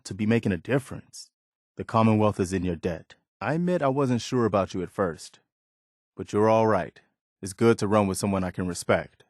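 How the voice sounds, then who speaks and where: An idealistic, principled militia man as a male actor plays him for a video game. His voice sits on the low side, with an earnest, plain-spoken delivery that stays conversational. The sound is very dry and close, with no room to it. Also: no room sound, close to an everyday voice. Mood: warm, sincere. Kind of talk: video game companion dialogue, naturalistic acting.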